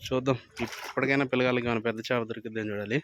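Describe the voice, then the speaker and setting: A man hosts a casual outdoor vlog. He talks extremely quickly at a middling pitch, switching between commentary and banter. There is faint noise behind him. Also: fairly even intonation. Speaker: vlog host filming children fishing. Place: outdoors by water.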